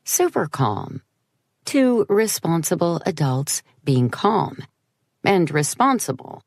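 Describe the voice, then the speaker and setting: A woman voicing a wry, nervously practical heroine in a horror audiobook, with a deadpan, sarcastic way of talking. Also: on the low side, slow, dry recording, clean studio sound, full character voice. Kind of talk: audiobook character dialogue.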